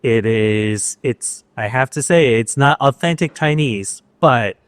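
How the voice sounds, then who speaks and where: Man, mid pitch, dry sound, easygoing owner speaking with pauses and ums. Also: dry recording. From Los Angeles. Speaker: restaurant owner. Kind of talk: casual interview talk. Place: restaurant.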